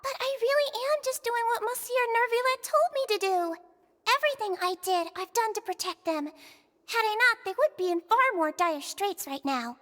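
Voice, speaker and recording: A woman's voice: extremely high pitch, lively intonation, moderate pace, a bit bright, long reverb tail. A woman voicing a tiny, sweet, dutiful childlike nurse with formal, courteous speech in a video game. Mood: defensive.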